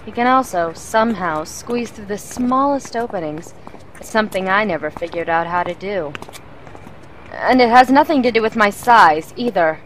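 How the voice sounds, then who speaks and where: A woman playing a composed, wry assassin, explaining things in a measured way in an audio drama. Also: mid-range voice, slight background noise, lightly acted, rough recording.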